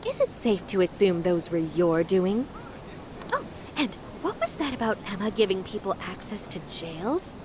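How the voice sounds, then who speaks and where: A woman playing a bubbly, eccentric adult AI researcher in an animated game dub, breezy, chatty and enthusiastic. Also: mid-range voice, moderate pace, background noise, natural voice. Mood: breezy, curious. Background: music.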